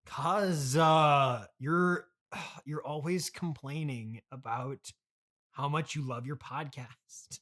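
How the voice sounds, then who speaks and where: A man's voice: fairly high pitch, slow pace, a bit bright. A man playing the spoiled, entitled streamer son of a trillionaire in an improvised comedy podcast, whiny, blunt and demanding. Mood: mocking.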